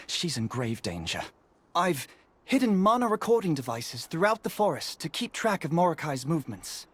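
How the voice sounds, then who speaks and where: An actor playing an earnest, courteous resistance investigator in a video game, polite and a little hesitant when worried. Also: male voice, medium pitch, husky. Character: earnest, courteous male resistance investigator, anxious about a missing child. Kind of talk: video game character dialogue.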